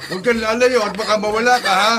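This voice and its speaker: A man playing a friendly, excitable elderly grandfather in a Filipino radio drama, with a creaky voice and exclaiming, hesitant interjections. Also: sits high, fast, close to an everyday voice. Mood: fond, watchful. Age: older. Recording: music under the voice.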